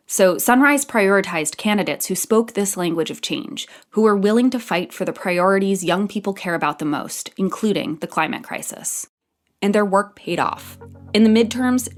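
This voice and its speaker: A woman narrating a documentary podcast from a script, in a clear, measured explaining tone. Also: mid-range voice.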